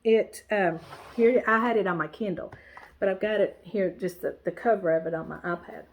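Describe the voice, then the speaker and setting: A woman chats through a cross-stitch show-and-tell in a nasal, congested voice. Her talk rambles, full of fillers and restarts, and her pitch stays in a middle range. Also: moderate pace, poor audio. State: stuffy, congested nose. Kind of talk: casual show-and-tell chat.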